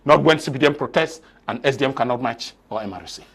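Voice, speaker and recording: A man's voice: medium pitch, fast talker, crowd noise. A man arguing insistently as a panelist on a TV debate. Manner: insistent, argumentative.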